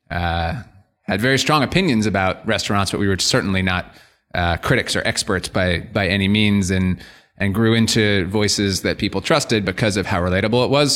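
A man's voice: fairly low pitch, a bit bright. An American man from the New York suburbs, explaining things conversationally as a company co-founder being interviewed on a podcast. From New York suburbs, United States.

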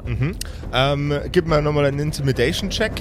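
A man, improvising as a tabletop game master, narrating the action and explaining rules in a halting way with repeated phrases. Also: a little high, noisy background.

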